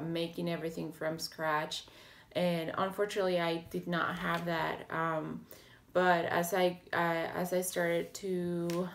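A woman in her thirties talking to the camera in a casual home vlog, slightly hoarse and raspy, with many fillers and restarts. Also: on the low side. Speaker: health vlogger. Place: small home office with bookshelves, indoors. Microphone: webcam or laptop camera at desk.

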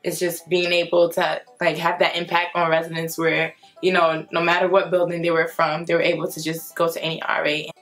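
A woman's voice: fairly low pitch, little pitch movement, brisk, hardly any pauses, fairly bright tone, faint music behind. A young woman from Brooklyn, a nursing student and resident assistant, giving earnest advice in an interview. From Brooklyn, New York. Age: young adult. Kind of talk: casual interview answers. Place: indoors before a plain grey backdrop.

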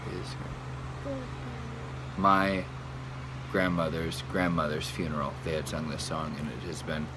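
Low, flat-voiced man over loud background hum. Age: 30s. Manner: halting, pausing often mid-sentence. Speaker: church worship leader presenting hymn history. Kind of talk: casual informal talk. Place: outdoors in a sunny backyard by a wooden hutch. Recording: noisy outdoor home recording, steady hum. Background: loud hum and outdoor noise.

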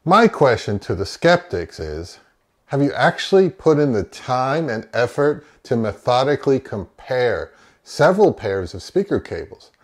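A man's voice: mid-range voice, sing-song, unhurried. A man in his fifties giving an opinionated review talk to camera from a home office, speaking in an emphatic, wry way. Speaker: audio review channel host. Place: small home office, indoors.

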